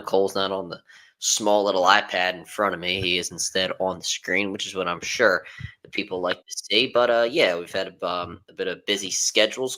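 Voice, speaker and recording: A man's voice: low voice, pushed and bright, poor audio. A young man hosting a casual sports podcast, rambling with lots of ums and uhs. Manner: rambling with many fillers. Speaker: podcast host.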